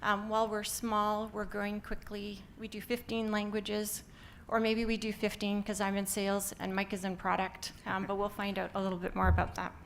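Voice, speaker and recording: A woman's voice: medium pitch, completely flat delivery, normal speed, hardly any pauses, a little hiss or hum. Upbeat Canadian woman presenting. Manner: upbeat, many "um" fillers. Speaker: company founder presenting. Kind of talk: conference presentation.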